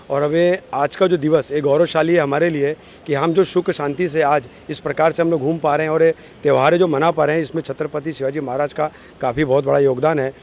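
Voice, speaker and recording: A man from Maharashtra speaks quickly in a slightly high voice. He gives earnest, effusive remarks as a politician, repeating himself for emphasis, with faint outdoor street noise behind him. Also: steady tone, a little mellow. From born in Maharashtra, India. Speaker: political party office-bearer.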